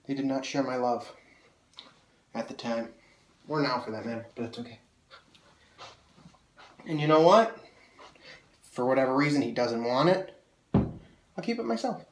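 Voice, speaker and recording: A man's voice: mid-range voice, expressive, moderate pace, long pauses, recorded from a distance, slight background noise. A young man talks at the camera in a small room, his voice a little nasal as he gets over a cold. He rambles eagerly with plenty of fillers and restarts. The mic sits a few feet away and catches a roomy echo off the walls. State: slightly nasal, coming off a cold. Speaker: sword collector reviewing blades. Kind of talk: casual video review. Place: small room full of swords, indoors. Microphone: camera mic from several feet away.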